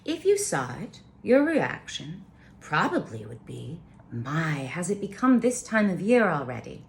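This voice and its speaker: Woman, low voice, storyteller reading aloud, halting and careful, informal asides, noisy background. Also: sing-song, gravelly.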